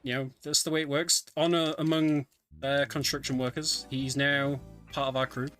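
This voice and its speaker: A man giving casual, conversational live commentary on a video game speedrun, often pausing with ums. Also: medium pitch, level tone, a bit bright. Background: game music.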